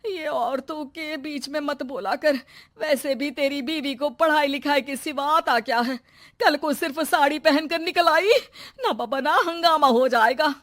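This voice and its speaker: A woman voicing a bossy older mother-in-law in a cartoon who scolds loudly. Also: high voice, measured pace, dry recording, studio quality, voice pushed up. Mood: scolding.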